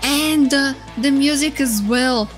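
A woman streamer giving excited, casual reaction commentary in a playful, flirty, gushing way. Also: high voice, slow pace. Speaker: streamer reacting to game trailers. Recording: loud music behind. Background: game trailer music and sound.

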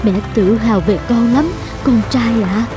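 Woman voicing a high-pitched loving cartoon mother. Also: measured pace, music in the background, close to an everyday voice. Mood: proud, joyful. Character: proud, loving mother of a young boy. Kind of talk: Vietnamese cartoon dubbing.

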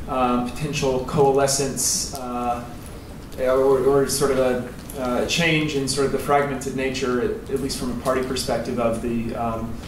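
A man in the audience asks the panel a long, hesitant question full of fillers. His delivery is flat and even. He sounds distant, in an echoing lecture hall with clear background noise. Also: mid-range voice, measured pace. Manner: rambling, hesitant. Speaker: audience member, PhD student. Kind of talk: asking a question at a seminar. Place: lecture theatre. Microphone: roving handheld microphone. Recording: roomy hall, distant, noisy.

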